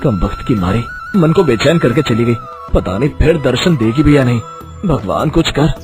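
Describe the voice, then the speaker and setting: A man's voice: mid-range voice, huge pitch swings, moderate pace. An actor playing an earnest, lovestruck young village man in an acted story. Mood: pining. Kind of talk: Hindi moral story acting. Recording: music behind the voice.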